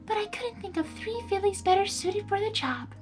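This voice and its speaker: A woman voicing a gentle, modest young female pegasus pony in a storybook reading. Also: very high voice, animated, moderate pace, faint music behind, voice pushed up. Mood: soft, earnest.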